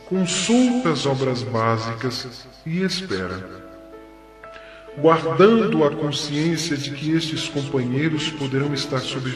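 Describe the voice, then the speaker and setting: A man playing a benevolent, fatherly spirit mentor in an audio drama, giving solemn, measured counsel with deliberate pauses. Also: on the high side. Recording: echoey long hall reverb, music behind. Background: music.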